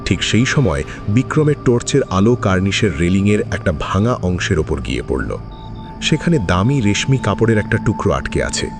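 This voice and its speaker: A man's voice: fairly low pitch, big pitch swings, moderate pace, music in the background. A man narrating a suspense thriller in a dramatic, scripted storytelling style.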